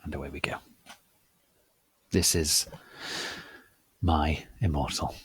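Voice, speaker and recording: A man hosting a dramatic reading, theatrical and mock-solemn, speaking deliberately with pauses. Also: extremely low pitch, steady tone, fairly bright tone, slightly rough, dry recording. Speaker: host and reader.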